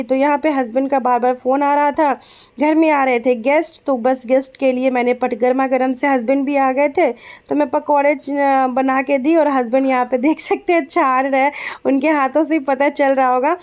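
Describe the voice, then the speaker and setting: A young woman with a high voice talks through her evening in a relaxed, chatty voice-over. She keeps her voice soft and gentle because of a sore throat and fills her talk with little pauses and fillers. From Bihar, raised in Kolkata. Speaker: vlogger. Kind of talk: casual vlog voice-over.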